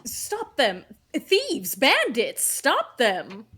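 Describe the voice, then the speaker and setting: A woman's voice: on the high side, huge pitch swings, drawn-out words, fairly bright tone, slightly rough, heavily acted. A woman reading a flamboyant, scheming fairy godmother in a live table read, with a showy sing-song delivery. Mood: shrill.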